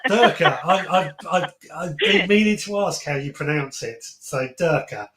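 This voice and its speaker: A man's voice: on the high side, lively intonation, unhurried, fairly bright tone, slight background noise. A man hosting a phone-in show with casual gardening chat, agreeable and a little hesitant, often repeating words. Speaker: podcast host taking phone-ins. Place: garden shed. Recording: echoey, roomy sound.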